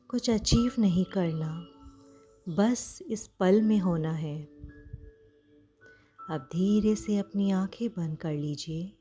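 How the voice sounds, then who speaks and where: A woman with an Indian accent leads a guided meditation in a mellow, relaxed voice. She speaks very slowly and gently, pausing often between short phrases. Music plays behind her slightly low-pitched, soothing delivery. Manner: soothing, gentle, with frequent pauses.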